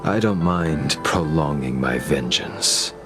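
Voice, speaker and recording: A man playing a grim, menacing, vengeful swordsman in a video game, with cold, patient, deliberate delivery. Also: a bass voice. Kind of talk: dramatic video game voice acting. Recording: background music over game dialogue.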